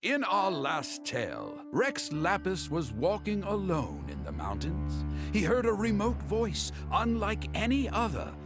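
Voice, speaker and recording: A lively theatrical storyteller. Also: male voice, high voice, unhurried, vocal fry, few pauses, full character voice. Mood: dramatic. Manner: theatrical, rising and falling storytelling. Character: theatrical male storyteller telling legends. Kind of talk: game voice acting. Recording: game audio over loud music.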